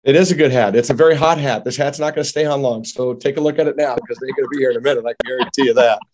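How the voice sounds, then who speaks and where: Fast-talking upbeat male podcast host. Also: medium pitch, fairly even intonation, a little mellow. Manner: enthusiastic, jokey, rambling. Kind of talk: casual interview chat.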